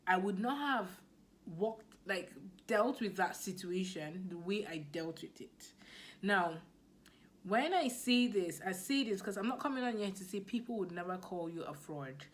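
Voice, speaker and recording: A woman's voice: medium pitch, animated, a little slow. A woman with a Nigerian accent giving a motivational business talk to camera, emphatic and repeating her key points. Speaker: business coach.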